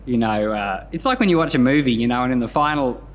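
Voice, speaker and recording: A man reading scripture and commenting on it in an expressive storytelling manner, as a pastor hosting a Bible podcast. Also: medium pitch, quick pace.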